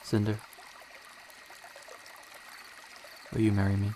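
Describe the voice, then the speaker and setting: A tender young emperor played by a man speaks in a slightly low voice, sincere and gently teasing, as in a romantic audio drama. Running creek water makes a noticeable wash of noise behind the words. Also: monotone, rapid-fire, lightly acted. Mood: nervous, loving.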